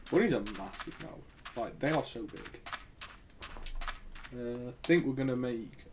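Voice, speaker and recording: Man, hoarse and coughing, mid-pitch, hesitant thinking aloud, noisy recording with clicks. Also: expressive, takes it slowly, pauses often. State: losing his voice, coughing. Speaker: gaming channel host. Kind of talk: casual gameplay commentary.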